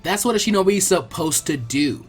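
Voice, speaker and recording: A brisk teenage girl ninja, as a narrator acts her out, blunt and casual. She is quick to annoyance yet friendly, her pitch jumping about, with a faint husk in the voice. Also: expressive, breathy edge. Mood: teasing.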